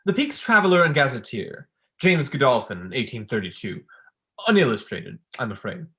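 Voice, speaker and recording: A man's voice: mid-range voice, very animated, moderate pace, bright, forceful tone. Young man acting a smug academic, swooping intonation. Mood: pompous reading aloud. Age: young adult.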